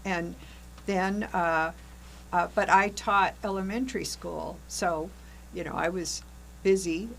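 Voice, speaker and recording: A woman's voice: lowish voice, fairly even intonation, slow pace, creaky, a little hiss or hum, poor audio. An older woman, a former teacher and group facilitator, interviewing a fellow member and sharing her own memories in a friendly way. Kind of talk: casual interview talk.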